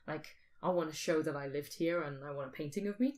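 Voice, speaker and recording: A woman's voice: deep voice, quick pace, a little mellow. A woman podcast co-host in casual speculative discussion, thinking aloud and often posing questions.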